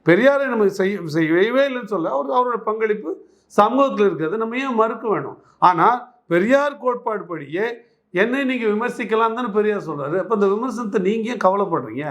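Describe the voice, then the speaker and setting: High-pitched man arguing politics. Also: expressive, a little fast, a bit bright. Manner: argumentative, emphatic, repeats phrases and poses rhetorical questions. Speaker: political commentator being interviewed. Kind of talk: political interview commentary.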